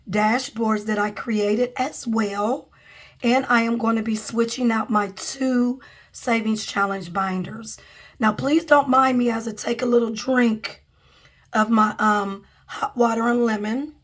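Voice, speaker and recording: A woman with a cold who is losing her voice, chatting casually to viewers as a budgeting vlogger at a table. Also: a little high. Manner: chatty, addresses viewers as guys and y'all. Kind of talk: casual vlog chat. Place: indoors at a table, camera overhead.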